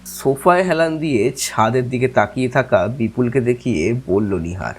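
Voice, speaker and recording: A man narrating a scripted audio story in the first person, with a wry, expressive delivery. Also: medium pitch, normal speed, breathy edge.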